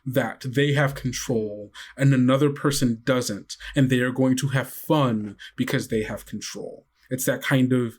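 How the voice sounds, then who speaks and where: A man's voice: medium pitch, steady tone, a little slow, few pauses, husky. A man narrating a tabletop game as game master, with dramatic storytelling and casual asides. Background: faint music.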